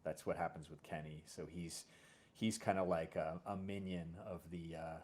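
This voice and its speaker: Man, lowish pitch, casual interview talk, halting, repetitive phrasing, thinking aloud. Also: steady tone, a little mellow. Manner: halting, repeats words, often says "you know". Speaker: series creator and show host. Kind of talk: casual conversation about a fiction series.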